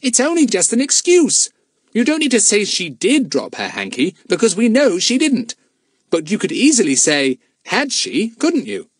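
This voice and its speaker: A man's voice: high-pitched, very animated, fairly quick, slightly rough, dry recording, clean studio sound, pitched above the performer's own voice, full character voice. A man doing an impatient bossy boy.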